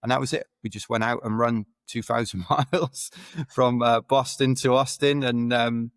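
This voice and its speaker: A man's voice: medium pitch, fairly even intonation, moderate pace, dry recording, studio quality. A man in his forties, a guest on a podcast, telling his story in a rambling way that keeps correcting itself. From Wales. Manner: rambling, self-correcting, chuckles while telling stories. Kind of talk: casual podcast interview.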